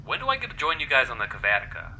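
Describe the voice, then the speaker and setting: A man's voice: medium pitch, very fast, slightly rough, heavily acted, low-quality recording. A man playing a casual, gossipy, complaining young space crewman in an audio drama, with informal delivery. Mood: chatty, griping. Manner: informal, animated.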